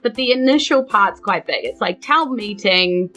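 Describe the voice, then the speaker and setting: A woman's voice: mid-range voice, animated, moderate pace, a bit bright, soft background music. A woman with a New Zealand accent, casually narrating a tabletop game as game master.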